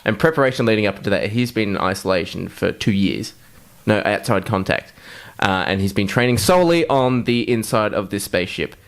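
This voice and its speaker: A man with a fairly low voice co-hosts a podcast. He chats casually and makes up joking stories as he goes. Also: expressive, a little fast, vocal fry. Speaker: podcast co-host. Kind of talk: casual joking chat and improvised storytelling.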